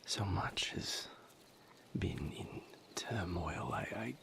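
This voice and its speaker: A brooding, tender male vampire lover, acted by a man, speaks in a low, breathy whisper for an intimate audio roleplay. He moves slowly and hesitantly through formal, careful phrases, pausing as he confides in his beloved. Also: exaggerated voice. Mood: weary, solemn.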